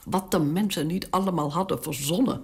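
A woman's voice: lowish voice, fairly quick, vocal fry. An actress playing a stubborn elderly queen in a radio drama, dignified and given to emotional exclamations. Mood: reminiscing. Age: older. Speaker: narrator and lead in radio drama.

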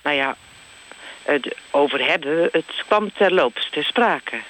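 A man's voice: a little high, expressive, slow, sharp tone, exaggerated voice, low-quality recording. A man playing a flustered, vague executive's wife who talks hesitantly and evasively in a mock radio interview.

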